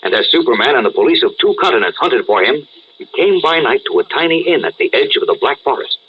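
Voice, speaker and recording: A man's voice: mid-range voice, animated, fast talker, muffled recording, slight background noise. A man narrating a 1940s-style radio serial as its announcer, with dramatic urgency. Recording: old radio broadcast recording.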